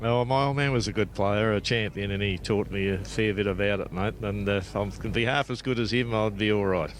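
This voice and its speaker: An Australian man in his sixties, a bowls player being interviewed outdoors, talking with many pauses and fillers. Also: on the low side, a little hiss or hum. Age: 60s. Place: outdoor bowling green.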